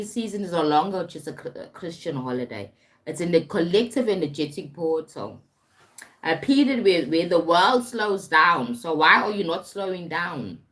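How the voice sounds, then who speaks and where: A woman with a South African accent giving an informal motivational livestream talk, emphatic, repeating phrases and often checking in with the listener. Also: low voice. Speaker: life coach and motivational speaker livestreaming.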